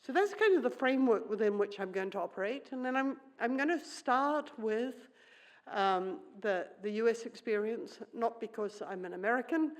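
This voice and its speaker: A woman's voice: a little high, expressive, normal speed. A middle-aged woman who grew up in New Zealand and whose first language is Swedish, giving a conference keynote in a wry, conversational manner and thinking aloud with many fillers. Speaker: keynote speaker.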